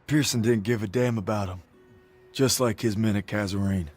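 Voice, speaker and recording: An actor playing a loyal, anxious American army private in a war game cutscene, intense and pleading. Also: male voice, lowish voice, steady tone, normal speed, soft background music, close to an everyday voice. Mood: bitter. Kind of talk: dramatic game cutscene acting. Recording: loud film score behind.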